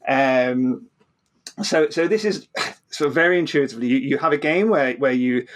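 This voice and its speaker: A man's voice: medium pitch, moderate pace. A man explaining science informally as an interview guest, often repeating words and restarting, checking in with "right?".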